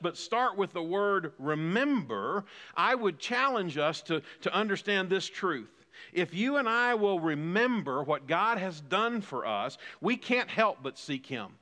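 A man's voice: high voice, sharp tone, in a large hall. A man in his fifties preaching a sermon as pastor, building emphasis through repeated phrases. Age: 50s. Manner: preaching with rising emphatic repetition.

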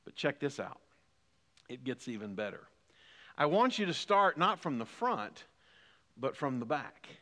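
Bright-voiced fifty-something preacher, hall echo. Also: male voice, mid-range voice, very animated, measured pace, long pauses. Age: 50s. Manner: preaching with rising emphatic repetition. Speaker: pastor. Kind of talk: sermon.

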